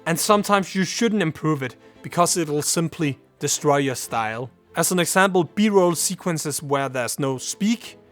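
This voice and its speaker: A man's voice: a little high, expressive, a little slow, hardly any pauses, soft background music. A young man from Denmark, a native Danish speaker, giving earnest scripted advice to camera about starting a channel. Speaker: YouTuber. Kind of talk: scripted vlog talk to camera.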